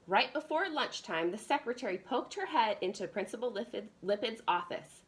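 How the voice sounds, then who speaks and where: A woman's voice: a little high, animated, normal speed. A woman reading a children's storybook aloud as a preschool teacher would, expressively addressing young children.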